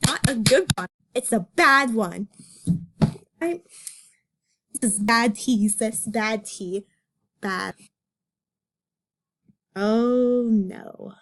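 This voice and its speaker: High-voiced woman reacting casually. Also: drawn-out words, long pauses, a bit bright. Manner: incredulous, slangy. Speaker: amateur comic reader.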